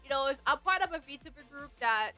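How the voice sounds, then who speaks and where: A woman's voice: high-pitched, lively intonation, brisk, bright, forceful tone. A young woman from Hawaii chatting casually on her livestream, bubbly and rambling with lots of fillers. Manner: bubbly, rambling, many fillers, squealing greetings. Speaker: VTuber streamer. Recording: faint music behind. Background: faint background music.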